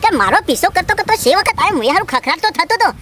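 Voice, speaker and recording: Sore, plotting small-time village gangster for a cartoon male actor, rural North Gujarati, chipmunk-high sped-up voice over noticeable background noise. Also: big pitch swings, racing. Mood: aggrieved, scheming. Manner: complaining, scolding. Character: aggrieved, scheming male would-be village gangster. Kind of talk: cartoon comedy dialogue.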